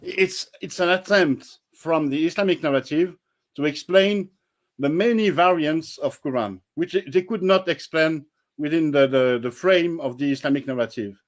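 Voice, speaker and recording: A blunt, firm man who explains points in a measured way. His voice sits a little high, and he speaks as a guest researcher on a casual panel.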